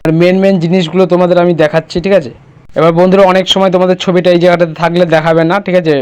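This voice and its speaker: A man's voice: high-pitched, flat delivery, very fast, darkish tone, clear, resonant tone. A man presenting a casual step-by-step screen tutorial, instructing and often checking that the listener follows.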